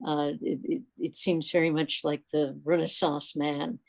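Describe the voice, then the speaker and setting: A woman's voice: low-pitched, flat delivery, unhurried, creaky. A woman hosting an online club meeting, speaking off the cuff in an effusive way with hesitant phrasing. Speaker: meeting host. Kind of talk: unscripted club meeting talk on a video call. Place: remote video call.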